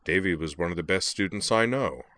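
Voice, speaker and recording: Man voicing a large affable young student, rumbling, very deep, easygoing. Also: brisk, a bit bright. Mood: affable, concerned. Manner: low rumbling, easygoing, friendly.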